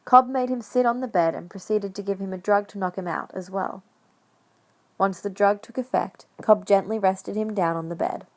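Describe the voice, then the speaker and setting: A woman narrates a fan-made audio drama. Her voice sits at an average pitch as she reads the scripted story. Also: moderate pace.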